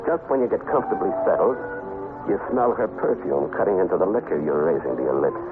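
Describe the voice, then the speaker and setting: An actor playing a tough, wisecracking American private detective in a 1950s radio drama, with clipped, sardonic, slangy delivery. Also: male voice, low-pitched, very animated, fast, over music. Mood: weary narration. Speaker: lead detective and narrator. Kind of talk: 1950s hardboiled radio drama acting and narration. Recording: noisy, muffled 1950s radio recording.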